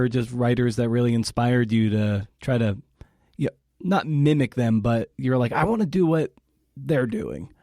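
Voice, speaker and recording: Male interviewer, warm dark voice, dry close recording, wordy questions. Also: medium pitch, dry recording. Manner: searching, halting delivery. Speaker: podcast host.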